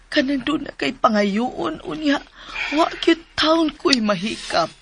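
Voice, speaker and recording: A woman's voice: high-pitched, slow, gravelly, noisy background, exaggerated voice. A pleading, worried mother. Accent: Cebuano. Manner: pleading, expressive. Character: motherly middle-aged woman, gentle and worried. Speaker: radio drama actress. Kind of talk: Cebuano radio drama acting.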